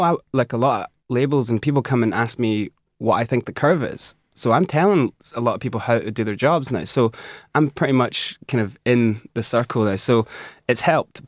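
A man's voice: medium pitch, fairly quick, no room sound. Male guest, emphatic interview talk, dry booth. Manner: animated, emphatic, restarts often. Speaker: musician guest. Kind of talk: interview conversation.

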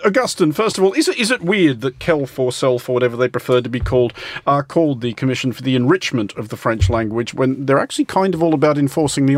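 A man hosting a radio panel discussion, wry and mock-pompous, often stammering over his first words. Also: mid-range voice. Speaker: host.

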